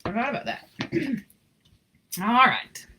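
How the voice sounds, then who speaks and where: A woman reading a children's picture book aloud in an expressive, informal way with chatty asides. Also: on the high side, slow pace, breathy edge. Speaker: story reader.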